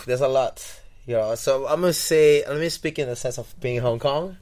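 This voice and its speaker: A man's voice: mid-range voice, normal speed, a little mellow. A young man from Togo, a podcast guest, talking in a rambling, reflective way with many restarts and repeated words. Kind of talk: casual interview.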